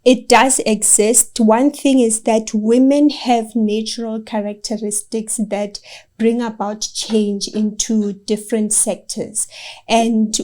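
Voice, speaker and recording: Mellow woman engineer. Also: fairly high pitch, flat delivery, slow. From South Africa. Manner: earnest, encouraging, gives direct advice. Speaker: project engineer, guest. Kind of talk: interview answers.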